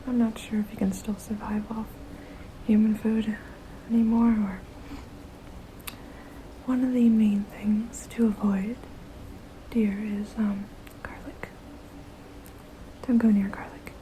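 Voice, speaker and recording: A woman voicing a gently protective, motherly vampire in a soft, breathy ASMR whisper, casual and soothing. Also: mid-range voice, level tone, slight background noise, close to an everyday voice, low-quality recording. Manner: hesitant, casual, soothing, unhurried.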